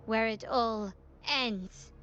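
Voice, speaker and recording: A woman voicing a cool, composed young gunwoman in a video game, measured, restrained and formal. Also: on the high side, fairly bright tone, muffled recording, soft background music. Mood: cool resolve. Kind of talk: video game story dialogue.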